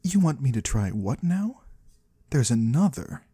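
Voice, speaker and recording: A man's voice: mid-range voice, big pitch swings, unhurried, mellow, breathy edge, no echo. A man playing a curious otherworldly trader in an ASMR roleplay, musing in quaintly formal words and savoring single ones close to the microphone. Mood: intrigued. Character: curious otherworldly male trader of the shadowy void. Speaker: ASMR performer. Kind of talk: ASMR roleplay, soft slow close speech to the listener. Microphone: close microphone, dry.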